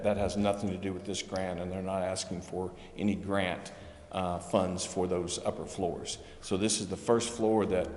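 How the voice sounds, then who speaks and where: A man's voice: low-pitched, a little mellow. A man on city staff explaining grant details to a council, hesitant with many ums and restarts. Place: council chambers. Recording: echoey chamber, faint hum.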